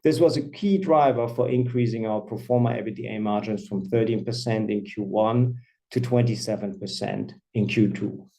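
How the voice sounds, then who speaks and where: 50s guy cfo going thru quarterly numbers, calm formal delivery, warm darkish voice. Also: medium pitch, rough recording. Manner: measured, formal reading of financial figures. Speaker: company CFO. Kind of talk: earnings call presentation.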